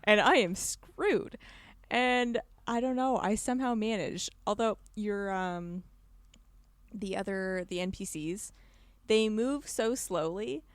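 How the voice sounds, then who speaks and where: A woman telling gaming stories in informal, animated podcast chat, acting out moments with repeated phrases. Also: medium pitch, slow, no room sound. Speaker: podcast guest. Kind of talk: casual chat, animated storytelling about gaming.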